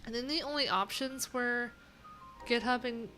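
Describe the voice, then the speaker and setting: A woman thinking aloud in a casual, unscripted tech livestream, informal and halting, often trailing off mid-thought. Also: on the high side, sharp tone, faint music behind. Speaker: livestream host, web developer.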